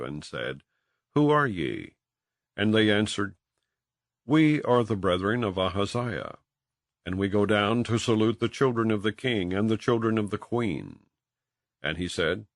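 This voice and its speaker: Low male voice doing a bible audiobook, really animated up and down, solemn, super dry sound. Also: gravelly, no room sound. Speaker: audiobook reader. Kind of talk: scripted scripture narration, King James Bible.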